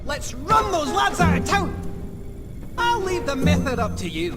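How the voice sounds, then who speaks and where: A woman's voice: high-pitched, very animated, measured pace, over loud music. A woman voicing a cheeky, chummy talking toy cat in a video game, chirpy and teasing. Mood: brisk, cheerful. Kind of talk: video game character acting.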